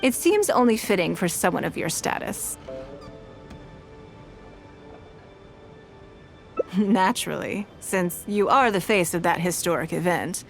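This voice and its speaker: A woman voicing an elegant, shrewd and courteous businesswoman in scripted video game dialogue, speaking in a poised, measured and articulate way. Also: on the low side, lively intonation, vocal fry. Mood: playfully shrewd. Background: background music.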